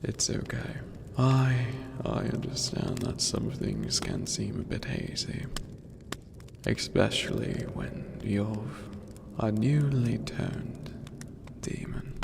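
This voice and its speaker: A man voicing an ancient skeletal demon overlord in an ASMR roleplay, calm, regal and formal, with a gravelly, breathy voice that often sinks to a whisper. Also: on the low side, heavily acted. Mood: welcoming, composed. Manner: slow, deliberate, lofty and formal. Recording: long cavernous reverb, noisy ambient bed.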